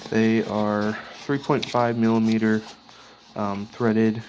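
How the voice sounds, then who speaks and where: A man with a fairly low voice talks through his gear in a casual, unscripted way. His intonation is very flat and his delivery comes in halting pieces. Clear noise and clicks sit behind the voice. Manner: halting, piecemeal delivery while handling items. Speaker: radio hobbyist showing his gear. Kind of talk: casual, unscripted show-and-tell of equipment. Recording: clearly noisy background with clicks.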